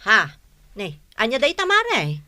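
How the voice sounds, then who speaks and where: A woman's voice: a little high, wildly swooping pitch, slow, pushed and bright, slight background noise, exaggerated voice. An actress playing a busy neighbour mother in a radio comedy drama, questioning and easily affronted. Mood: hurried, puzzled. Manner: questioning, protesting.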